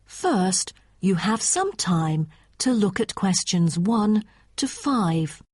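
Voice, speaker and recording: A woman reading scripted test instructions as an exam narrator in a formal, deliberate manner. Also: mid-range voice, big pitch swings, darkish tone, breathy edge, dry recording, studio quality.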